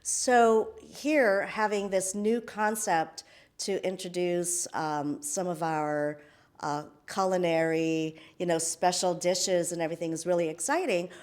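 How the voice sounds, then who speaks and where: A woman's voice: fairly low pitch, steady tone, a little slow. A middle-aged woman born in the Philippines, hosting an interview in a chatty way with many fillers and restarts. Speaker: host, interviewer. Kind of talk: conversational interview.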